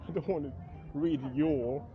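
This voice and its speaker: A man's voice: on the high side, slow pace. A man from France with a French accent, chatting and joking in a rambling way while walking an outdoor night market as a travel vlogger, recorded on a camera he carries. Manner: chatty, joking, self-deprecating, many fillers. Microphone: 360 camera mic carried while walking. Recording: dull lo-fi street recording. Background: loud street and crowd ambience, vehicles.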